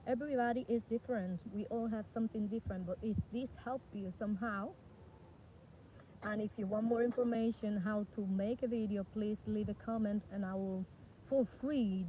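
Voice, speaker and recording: A woman's voice: mid-range voice, steady tone, normal speed. A singer-songwriter woman originally from the Dominican Republic, chatting casually to camera and rambling with self-corrections. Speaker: singer-songwriter and music producer. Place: outdoors with flies around. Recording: dull lo-fi sound with faint background noise.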